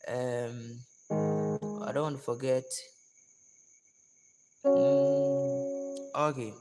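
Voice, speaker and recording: A hoarse man giving a relaxed music lesson to singers, repeating his advice in an unhurried, gentle way. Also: medium pitch. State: hoarse, voice worn out. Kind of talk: casual spoken tutorial. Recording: long reverb with music playing behind.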